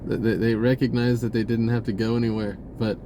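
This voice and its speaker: A man giving a rambling, informal spiritual monologue in a halting, searching way, often repeating words and slipping into laid-back slang. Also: medium pitch, little pitch movement, background noise. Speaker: spiritual vlogger.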